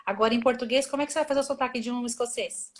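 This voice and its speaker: A woman's voice: fairly high pitch, rapid-fire. A woman translator, a guest on a live video stream, talking casually and thinking aloud with many restarts. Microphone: phone or laptop mic on a video call.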